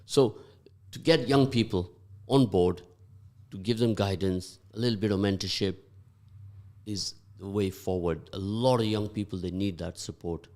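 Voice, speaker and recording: A man hosting a morning radio show, his voice sitting on the low side. He chats loosely and rambles, stopping to fill gaps as he thinks. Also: darkish tone. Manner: rambling, halting with many fillers. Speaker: radio breakfast host. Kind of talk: casual radio chat.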